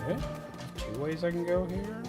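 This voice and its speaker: A man's voice: high-pitched, expressive, moderate pace. A man doing casual game livestream commentary, joking playfully and repeating his phrases as he chats with viewers. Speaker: game streamer. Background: game music.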